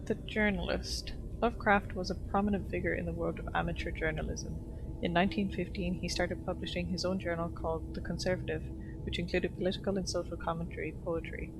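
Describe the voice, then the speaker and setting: A woman's voice: on the low side, little pitch movement, fairly quick, noisy background. A woman streaming a game, casually commenting and reading its text aloud. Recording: clear game music behind the voice. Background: game music.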